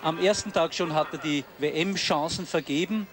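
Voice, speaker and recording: A man's voice: on the high side, normal speed. A man giving live ski flying commentary on television. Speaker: sports commentator. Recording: noisy outdoor sports broadcast, crowd noise.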